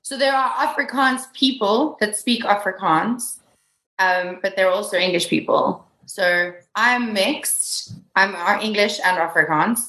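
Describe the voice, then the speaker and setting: A woman's voice: medium pitch, a little slow, bright, forceful tone. A woman from South Africa with a South African accent, hosting a casual interview and gushing with giggles. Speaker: podcast host. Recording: roomy echo.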